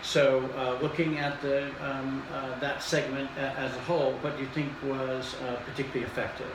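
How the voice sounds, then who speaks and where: A man's voice: mid-range voice, flat delivery, fairly quick. A man interviewing a teacher he supervises, asking questions with many hesitant "uh"s. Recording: echoey room with loud noise.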